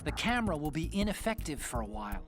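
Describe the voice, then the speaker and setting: Game support role: brainy, earnest mission partner, somewhat high-pitched, gives careful, precise briefings. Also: male voice, sing-song, quick pace, over music. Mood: cautioning. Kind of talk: video game voice acting.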